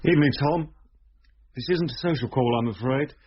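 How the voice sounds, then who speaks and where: An actor playing a formal, persistent police inspector in a radio drama, a British man with an official, measured manner. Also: mid-range voice, husky, dull audio, natural voice. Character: firm, formal male police detective inspector.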